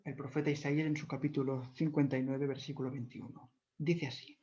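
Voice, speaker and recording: A man whose first language is Catalan gives a measured, devout explanatory talk, often pausing to correct himself. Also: mid-range voice, little pitch movement, warm tone. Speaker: video lecturer. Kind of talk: explanatory talk on church history. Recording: dull lo-fi recording.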